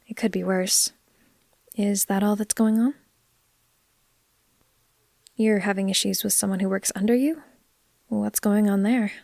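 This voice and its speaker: A woman playing a caring, gently teasing vampire in a soft, unhurried, comforting ASMR roleplay, sometimes slipping toward a whisper. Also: medium pitch, steady tone, vocal fry, no echo, clean studio sound. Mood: sympathetic.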